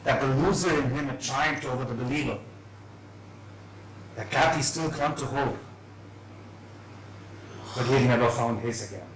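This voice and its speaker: A man's voice: medium pitch, normal speed, long pauses, a bit bright. A man gives a dramatised reading of literary narration. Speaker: reader. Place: live reading at an indoor event venue. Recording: echoey room, distant mic, faint noise.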